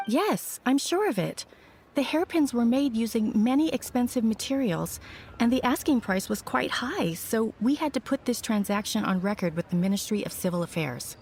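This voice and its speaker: An actress playing a helpful, courteous young go-between in a video game, explaining things in a forthcoming, polite way. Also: female voice, on the high side, animated, normal speed, darkish tone, slightly rough. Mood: earnest, helpful.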